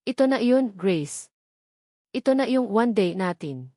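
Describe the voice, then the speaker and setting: Soft earnest loving husband read by a woman, medium pitch, dry sound. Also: expressive, a little slow, no room sound, close to an everyday voice. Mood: tender. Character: tender loving husband, adult man.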